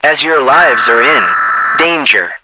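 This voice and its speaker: A man's voice: medium pitch, slow pace, heavy background noise. A man narrating a scripted emergency broadcast as an announcer, in a formal, instructive manner.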